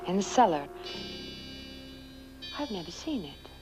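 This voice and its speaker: An actress playing a fearful, trapped young wife in horror-comedy film dialogue, breathy and melodramatic, often sinking toward a whisper. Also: female voice, fairly low pitch, very animated, measured pace, a little mellow, soft background music, rough recording. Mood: hushed, tentative. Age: young adult. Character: fearful, trapped young woman married to a corpse. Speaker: film actor.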